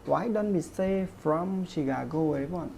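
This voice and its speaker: Teacher lady, low gravelly voice, slow, faint hiss behind. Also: mellow. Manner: slow, repeats okay and everyone often, refers to herself as teacher. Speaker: English teacher. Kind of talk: online grammar lesson.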